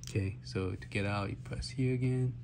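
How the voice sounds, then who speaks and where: A man with a lowish, slightly hoarse voice clears his throat now and then. He talks through a gaming device's menus in a loose, halting way and often fills his pauses. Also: fairly even intonation, darkish tone. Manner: halting, many fillers and restarts. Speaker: handheld gaming reviewer. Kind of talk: casual walkthrough demo.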